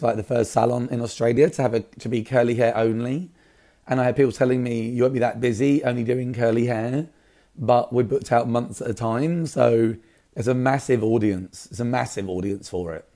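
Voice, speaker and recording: A man with a slightly raspy voice, talking casually and keenly as an interview guest about his work. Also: medium pitch, mellow. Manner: enthusiastic. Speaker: hairdresser, salon owner, interview guest.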